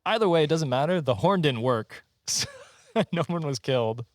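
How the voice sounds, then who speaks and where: A man's voice: on the high side, expressive, moderate pace, no echo, studio quality. A man hosting a podcast countdown, telling stories in a casual comedic way with a self-deprecating, jokey manner and frequent laughing. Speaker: podcast host presenting a countdown.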